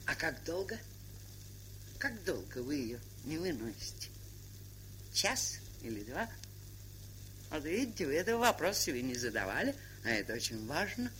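A woman's voice: low-pitched, big pitch swings, normal speed, pauses often, a bit bright, low-quality recording. An actress playing a shrewd, sardonic older woman camp trader, lecturing with worldly irony in a theatrical stage style. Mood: firm. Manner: worldly-wise, ironic, lecturing. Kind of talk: theatrical stage drama acting. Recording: hissy 1970s studio drama recording.

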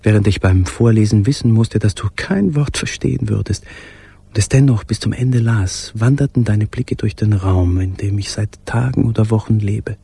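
An actor playing a brooding, tender musician in a literary radio drama, speaking in an intimate, measured way with a husky voice. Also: male voice, on the low side, mellow. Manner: intimate, measured, sometimes dropping to a whisper. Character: brooding, tender male musician writing to his beloved. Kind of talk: literary radio drama monologue with recited verse.

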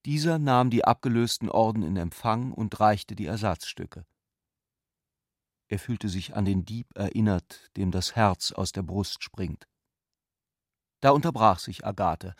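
A man's voice: fairly low pitch, measured pace, no echo, studio quality. A man narrating literary prose for a radio drama.

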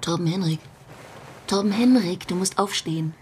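A woman's voice: lowish voice, moderate pace, noisy background, lightly acted. An actress playing a firm, exasperated mother of a schoolboy in a children's radio play. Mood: coaxing.

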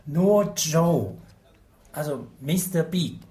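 An actor playing an evasive, frightened Chinese head waiter in a radio drama, speaking terse, halting, broken German with a Chinese accent. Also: male voice, fairly high pitch, expressive, dark tone. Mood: evasive, nervous.

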